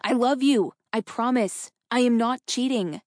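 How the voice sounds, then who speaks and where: A woman's voice: mid-range voice, fairly even intonation, normal speed, creaky, no room sound, natural voice. Audiobook role: female reader as tired, guilty-seeming husband, earnest, pleading, denying all. Dry recording. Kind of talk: audiobook character dialogue.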